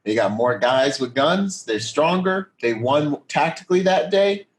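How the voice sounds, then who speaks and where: A man's voice: on the high side, lively intonation, measured pace. An American man hosting a podcast in casual, enthusiastic, slangy and emphatic conversation. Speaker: podcast host. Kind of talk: casual interview chat.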